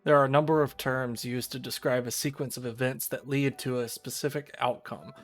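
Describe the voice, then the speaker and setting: A man reading the visual novel narration of a fatalistic, aloof teenage schoolgirl outsider, in a measured storytelling way. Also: mid-range voice, fairly even intonation, faint music behind, close to an everyday voice. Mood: solemn. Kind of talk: scripted visual novel narration, read aloud.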